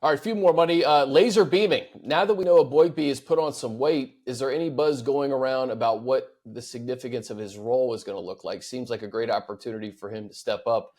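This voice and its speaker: A man's voice: medium pitch, fairly quick, hardly any pauses, darkish tone. A man hosting a casual sports talk show, reading out fan questions and chatting about them.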